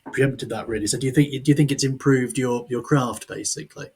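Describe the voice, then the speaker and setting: A man's voice: medium pitch, fast talker, darkish tone, husky. A man hosting a casual interview, with a wry, self-deprecating manner. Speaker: podcast host.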